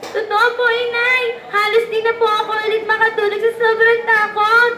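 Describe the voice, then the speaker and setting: Woman as excitable little girl, extremely high voice, Filipino accent, puppet show, echoey, crowd noise. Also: moderate pace. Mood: frightened. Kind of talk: amateur student puppet show acting. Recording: echoey room with loud crowd behind.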